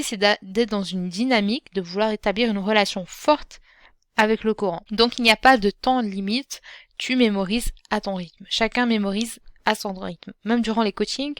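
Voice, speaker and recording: A woman hosting her own podcast as a Quran teacher. She speaks gently and encouragingly, addressing the listener directly, with frequent fillers and restarts. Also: mid-range voice, clear, resonant tone, dry recording, studio quality. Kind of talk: solo podcast talk.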